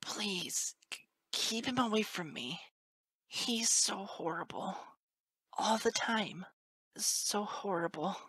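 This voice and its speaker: A woman's voice: medium pitch, slow, long pauses, fairly bright tone, slightly rough, no echo, voice pushed up. A woman voicing a small, defiant young unicorn colt in a storybook reading, whimpering and stammering through the words. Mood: shaken, pleading. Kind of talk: dramatic audiobook character acting.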